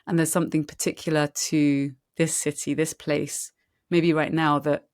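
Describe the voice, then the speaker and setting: Low-voiced chatty woman host. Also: level tone, moderate pace. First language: French. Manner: chatty, warm-hearted enthusiasm, rambling asides. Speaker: podcast host. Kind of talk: conversational interview. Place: Barcelona.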